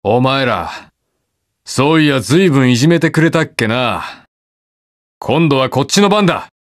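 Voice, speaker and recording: An actor playing a stubborn, protective young masked hero in a video game, speaking curtly and brusquely. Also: male voice, medium pitch, lively intonation, measured pace, no echo, clean studio sound, lightly acted. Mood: brash, irritated. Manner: curt, brusque, rough casual speech. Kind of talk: video game voice lines, battle quips.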